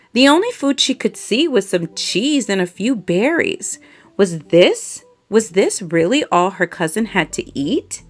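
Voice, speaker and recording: Slow, animated woman reading a children's story. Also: mid-range voice. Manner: expressive storytelling for young children. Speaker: storybook reader. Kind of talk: scripted read-aloud of a children's story.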